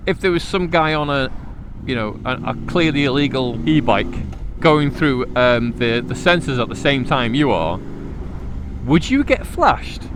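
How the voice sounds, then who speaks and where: A man's voice: mid-range voice, big pitch swings, measured pace, music in the background. A middle-aged man with a Liverpool accent, rambling casually to himself as he rides, jokey, slangy and self-deprecating. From Liverpool, England. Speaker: motorcycle vlogger. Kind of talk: casual rambling monologue while riding. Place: outdoors on a motorbike in traffic. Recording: noisy outdoor road and traffic sound. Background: engine and traffic noise.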